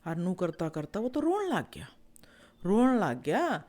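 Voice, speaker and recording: A woman's voice: on the low side, wildly swooping pitch, a little slow, dark tone. Folksy woman storyteller with a Haryanvi accent. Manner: conversational, repeats phrases for effect. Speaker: storyteller, show host. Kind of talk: folksy oral storytelling of animal fables.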